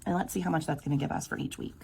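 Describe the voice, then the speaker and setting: A woman with a sore, scratchy throat, quietly counting bills aloud in a casual budgeting vlog. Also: low-pitched, fairly even intonation, racing, vocal fry. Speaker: budgeting vlogger. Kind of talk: casual cash-stuffing walkthrough.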